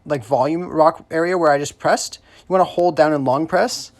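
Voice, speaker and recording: Higher-pitched man giving a casual tutorial. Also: moderate pace. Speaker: tutorial host.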